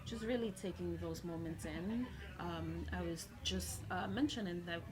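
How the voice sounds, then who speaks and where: A woman whose first language is not English talks casually as a guest in an interview. Her voice sits a little low, and she strings her thoughts together with plenty of "like", turning animated whenever she quotes herself. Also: unhurried. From New York. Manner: chatty, heavy use of "like", animated when quoting herself. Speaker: ultrarunner guest.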